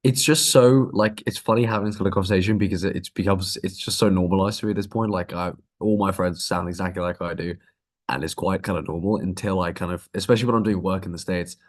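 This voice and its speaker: Young British male guest, low-pitched, easygoing conversational tone. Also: fairly even intonation, fast. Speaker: podcast guest. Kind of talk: casual interview chat.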